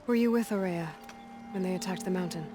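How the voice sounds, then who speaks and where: An actress playing a determined young outlander huntress in a video game, with a dry, direct and self-assured delivery. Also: female voice, on the low side, a little fast, music in the background, natural voice. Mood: curious, direct. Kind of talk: video game cutscene acting. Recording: loud ambient game sound effects.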